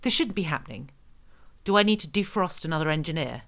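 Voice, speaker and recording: An actress playing a scheming, imperious broadcast host, theatrical and dryly menacing. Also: female voice, low voice, animated, fast, gravelly, a bit bright, heavily acted. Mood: annoyed. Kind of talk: theatrical radio host narration.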